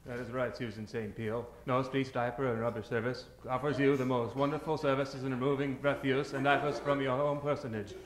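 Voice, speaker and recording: A man playing a pompous doctor who delivers a florid sales pitch in a mock radio advertisement. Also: fairly even intonation, brisk, hardly any pauses, lightly acted. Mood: grandiose. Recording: echoey stage, noisy with clicks.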